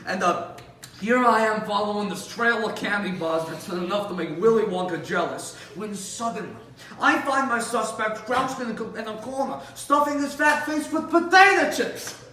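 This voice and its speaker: A man playing a world-weary, animated police officer telling stories from the beat in a theatrical, conversational way with dramatic pauses. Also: high-pitched, voice pushed up. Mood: incredulous, amused. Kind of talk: competitive dramatic monologue performance. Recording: roomy, distant mic with faint noise.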